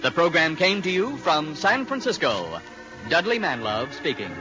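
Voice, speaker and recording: A man's voice: a little high, big pitch swings, moderate pace. A man announcing in scripted 1940s radio style, reading closing credits, with organ music behind him. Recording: dull vintage radio recording with music.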